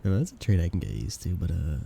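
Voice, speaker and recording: A man's voice: low voice, big pitch swings, quick pace, vocal fry, warm tone, husky, exaggerated voice. A man playing a shy young guy confessing love to a best friend in an intimate ASMR romance roleplay, tender and hesitant. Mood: playful, affectionate.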